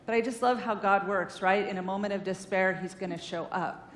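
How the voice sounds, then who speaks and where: Woman guest speaker, chatty and earnest, talking at a church over a mic; roomy hall sound with a faint rumble. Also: steady tone, brisk. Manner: chatty, earnest, many fillers. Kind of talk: informal sermon-style talk.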